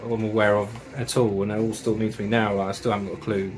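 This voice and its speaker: A man's voice: lowish voice, flat delivery, fast talker. A man casually reviewing metal records and tapes, enthusiastic and chatty, with slang and swearing.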